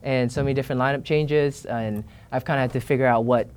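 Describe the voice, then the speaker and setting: A man's voice: medium pitch, steady tone, fast talker. A young man from Singapore and Minnesota, a band leader, talking hesitantly in a casual interview with many fillers and restarts. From born in Singapore, raised in Minnesota.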